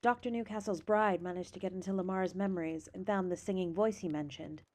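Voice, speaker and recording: A woman's voice: lowish voice, fairly even intonation, fairly quick, a little mellow, natural voice. A woman playing a composed noblewoman. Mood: measured.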